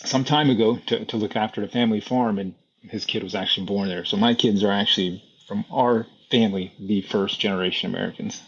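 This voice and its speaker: A man in his thirties from Brazil, a podcast guest talking casually about running a repair shop, relaxed and repeating himself, often saying "man". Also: fairly low pitch, a little fast, slightly rough, muffled recording. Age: 30s. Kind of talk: casual conversation.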